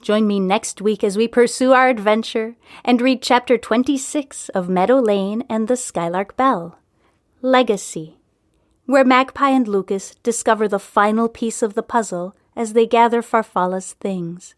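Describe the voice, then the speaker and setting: A woman's voice: a little high, a little slow, no echo, studio quality. A woman hosting and narrating a scripted serial story podcast.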